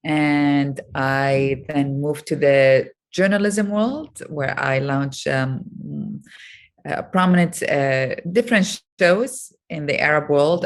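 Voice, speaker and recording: A middle-aged woman from Iraq with a notably low voice talks as an interview guest. She thinks aloud, pausing often with soft fillers before going on. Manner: thoughtful, many pauses and fillers. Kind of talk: reflective interview talk.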